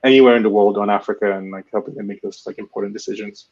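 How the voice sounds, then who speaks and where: Fast-talking man on lo-fi audio. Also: fairly low pitch, fairly even intonation, a little mellow. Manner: fast, halting restarts. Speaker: founder, panelist. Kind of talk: panel discussion. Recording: dull lo-fi audio.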